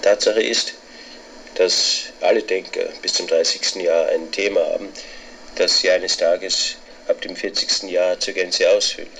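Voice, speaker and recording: A man's voice: low voice, steady tone, unhurried, a bit bright, slight background noise, poor audio. A male actor playing a bitter, pedantic middle-aged scholar in a radio drama, circling obsessively through repeated phrases. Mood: brooding, pedantic. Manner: obsessive, repetitive, pedantic monologue. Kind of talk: literary radio drama monologue.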